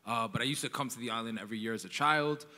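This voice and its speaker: A man's voice: mid-range voice, racing, sharp tone. A man from New York telling his story earnestly and energetically on a conference panel. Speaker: panelist, nonprofit founder. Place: large conference hall. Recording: long hall reverb.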